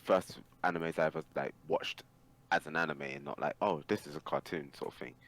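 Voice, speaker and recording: A man's voice: extremely low pitch, steady tone, brisk, a bit bright. A man talking casually and emphatically on a podcast as an opinionated, sweary anime fan. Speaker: podcast guest or co-host.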